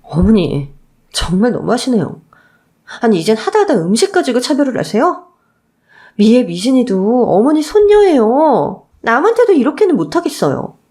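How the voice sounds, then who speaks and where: A woman's voice: fairly high pitch, sing-song, normal speed, warm tone. A woman voicing a long-suffering daughter-in-law who protests indignantly in a radio story drama. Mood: outraged.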